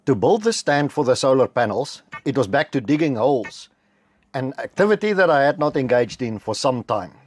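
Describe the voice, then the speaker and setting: A middle-aged South African man with an Afrikaans background, narrating a homestead building vlog in a measured, matter-of-fact voice-over. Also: mid-range voice, very animated, breathy edge. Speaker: homestead vlogger. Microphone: close voice-over mic.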